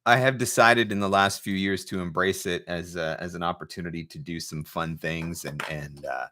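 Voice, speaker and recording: Bright, forceful man, casual host. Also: low-pitched, fairly even intonation, moderate pace. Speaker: livestream host and voiceover marketing coach. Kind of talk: casual live chat, off the cuff.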